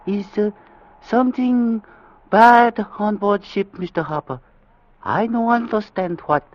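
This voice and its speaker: High, slow, superstitious ship's steward. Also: male voice. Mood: foreboding. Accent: put-on foreign. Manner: halting, deferential, clipped broken phrases. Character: soft-mannered, superstitious male ship's steward, politely ominous. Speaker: actor in a radio drama. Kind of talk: 1940s radio acting, broken English. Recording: dull vintage radio recording.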